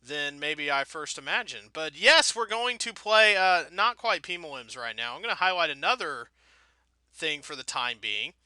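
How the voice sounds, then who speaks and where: Bright-voiced man rambling over a video game. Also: a little high, expressive, moderate pace, smooth, no echo. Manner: wordy, wry and self-deprecating. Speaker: let's play host.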